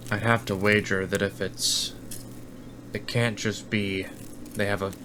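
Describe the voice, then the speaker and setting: A man's voice: fairly low pitch, a bit bright, a little hiss or hum. A man talking as a player in a tabletop roleplaying game, reasoning aloud in character.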